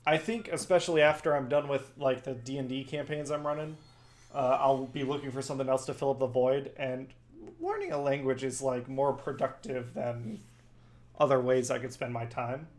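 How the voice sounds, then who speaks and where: A man's voice: medium pitch, lively intonation, moderate pace, darkish tone. Chatty gamer man, Farsi native. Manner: chatty, answers chat viewers by name. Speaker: streamer and host. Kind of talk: casual chat while gaming.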